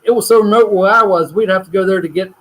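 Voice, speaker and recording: A man's voice: high-pitched, fast talker, a bit bright. An American man with a self-described hillbilly twang, co-hosting an easygoing livestream chat. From United States. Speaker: co-host of a livestream.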